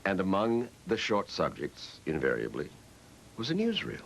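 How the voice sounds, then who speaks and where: An older man with an American accent, reminiscing in an interview in an emphatic, measured storytelling way. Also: low voice, huge pitch swings, creaky, rough recording. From Massachusetts, United States. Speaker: interviewee recalling the past. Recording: music playing behind the voice. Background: music.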